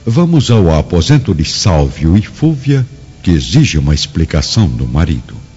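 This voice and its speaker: A dull radio drama recording with music behind. A male narrator reads in a very deep, mellow voice, setting out scripted scenes. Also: big pitch swings, moderate pace.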